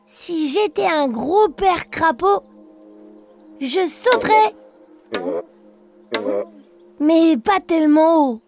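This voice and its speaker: A woman's voice: extremely high pitch, animated, extremely slow, vocal fry, pauses often, over music, exaggerated voice. A woman voicing a cheerful, playful young bear cub with a simple sing-song delivery in a children's cartoon. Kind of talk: children's cartoon nursery rhymes.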